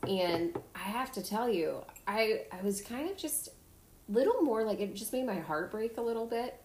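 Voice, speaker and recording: A woman hosting a podcast, telling stories in a casual, animated way and acting out the people in them. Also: mid-range voice. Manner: animated storytelling, acts out kids' voices. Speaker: podcast host. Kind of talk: casual chat. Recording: echoey room.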